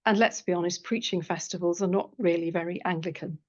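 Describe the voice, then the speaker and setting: A woman's voice: fairly low pitch, steady tone, lo-fi sound. Woman reading an academic lecture paper. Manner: measured reading with dry asides. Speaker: historian giving a seminar paper. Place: lecture room at a college.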